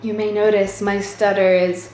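Woman in her 20s, candid wry vlogger, casual, mid pitch, roomy echo, music behind. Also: level tone, normal speed, warm tone. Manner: candid, wry, self-deprecating. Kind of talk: casual personal health update vlog.

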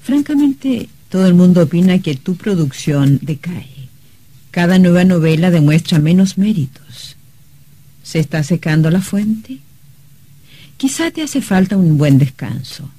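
A courteous female secretary in an old-fashioned radio play. Her lowish, mellow voice is husky, with a deferential, formal delivery. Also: lively intonation, measured pace, no room sound. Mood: careful, formal.

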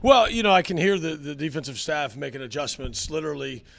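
A middle-aged man, a head football coach, answering postgame questions in a halting way with many fillers, into a handheld mic indoors. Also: a little high, creaky, a bit bright. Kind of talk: postgame interview. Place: indoor locker room area. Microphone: handheld mic held by interviewer.

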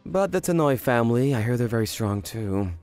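A man voicing a cool, shrewd underworld informant in a manga dub, speaking in a measured, businesslike way. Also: medium pitch, lively intonation, darkish tone, soft background music, natural voice. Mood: grave, informative. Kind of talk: animated manga dub dialogue.

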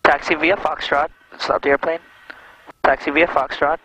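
A man's voice: a little high, level tone, normal speed, bright, forceful tone, husky. A man instructing a student pilot with short, directive commands over a headset in a small airplane cockpit. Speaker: flight instructor. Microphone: aviation headset. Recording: muffled cockpit headset intercom.

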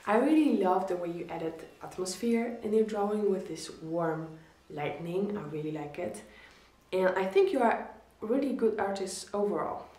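A woman's voice: mid-range voice, animated, normal speed, warm tone, reverberant, low-quality recording. A woman in her twenties with a Polish accent, talking casually to camera as she gives friendly, encouraging art critiques, leaning hard on the word "really.". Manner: chatty, encouraging, repeats "really". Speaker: art YouTuber reviewing viewer drawings. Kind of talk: casual instructional talk to camera. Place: small indoor room against a plain wall.

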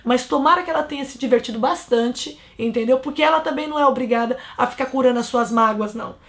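A woman's voice: high voice, fast. A woman in her thirties from Brazil, giving blunt, scolding relationship advice straight to camera from a small bedroom. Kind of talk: casual advice monologue to camera.

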